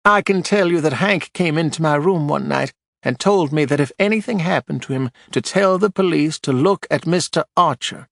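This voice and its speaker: A man's voice: normal speed, gravelly, dry recording, studio quality. A man voicing an indignant, haughty older widow testifying on a witness stand. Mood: gossipy. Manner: shrill, imperious, snappish.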